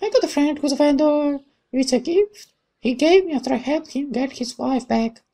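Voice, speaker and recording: A man voicing a playful, mischievous young woman in a visual novel, with teasing, bright exclamations. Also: very high-pitched, moderate pace, pitched above the performer's own voice. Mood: playful, cheerful.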